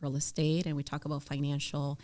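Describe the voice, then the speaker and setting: A middle-aged woman with a low-pitched voice speaks as an interview guest. She was born in Ecuador and raised in New York City, and her delivery is conversational. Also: flat delivery, a little fast, darkish tone. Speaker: podcast guest, mortgage lender.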